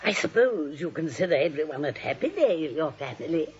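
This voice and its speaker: An actress playing a kindly, blithely innocent old spinster aunt, soothing and chatty, in 1940s radio drama style. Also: female voice, deep voice, sing-song, fairly quick, darkish tone. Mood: blithely cheerful. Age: older. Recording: dull vintage 1940s drama recording.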